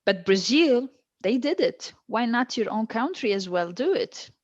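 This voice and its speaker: A woman's voice: fairly high pitch, expressive, measured pace, no room sound. Woman, emphatic repetition, muffled sound, dry. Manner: emphatic, repeats phrases for stress. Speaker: guest, stateless-rights advocate. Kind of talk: earnest interview answers.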